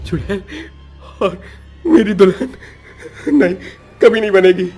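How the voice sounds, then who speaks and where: A man's voice: sits high, expressive, unhurried, a little mellow, husky, faint music behind, voice pushed up, heavily acted. A man voicing a terrified young groom in a cartoon horror story, stammering in panic. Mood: panicked.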